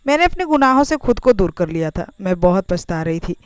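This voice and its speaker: Woman telling an emotional story in first person, really clean smooth voice, medium pitch. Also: sing-song, brisk, no echo. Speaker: story narrator.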